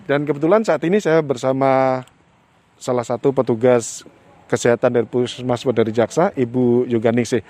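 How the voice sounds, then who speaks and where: Field reporter, male, mid-pitched, measured formal delivery with fillers, outdoor location. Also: steady tone, dark tone, dry recording. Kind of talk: on-location news report and interviews. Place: outdoors at a village hall.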